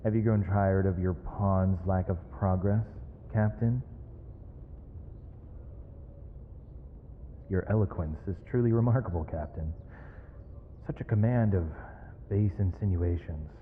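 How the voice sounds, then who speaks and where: An actor playing a proud, weary captive mage in an ASMR roleplay, with eloquent, theatrical and measured formal diction. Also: male voice, deep voice, natural voice. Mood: mocking, disdainful. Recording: reverberant hall, faint noise, dull lo-fi sound.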